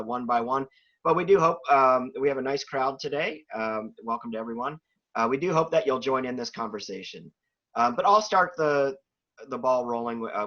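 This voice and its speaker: A man's voice: mid-range voice, fairly bright tone. A man hosting a video call for admitted students, hesitant with many fillers. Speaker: host, admissions dean. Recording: dull lo-fi video call audio.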